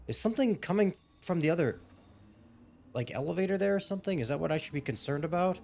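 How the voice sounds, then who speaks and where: A man's voice: fairly high pitch, expressive, fast talker, gravelly, a little mellow, slight background noise. A man who is a little ill and losing his voice gives rambling, hesitant, casual commentary on his gameplay. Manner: rambling, hesitant, with frequent restarts and fillers. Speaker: gaming YouTuber.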